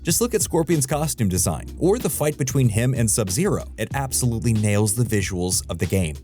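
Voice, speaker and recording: A man's voice: mid-range voice, mellow. A man narrating a scripted video essay in a wry, opinionated, conversational way.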